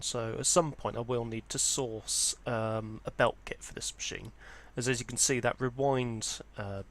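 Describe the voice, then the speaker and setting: A man's voice: medium pitch, fairly bright tone. Man giving an informal hobbyist walkthrough. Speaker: presenter of a vintage audio hobby channel. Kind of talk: informal product walkthrough, unscripted.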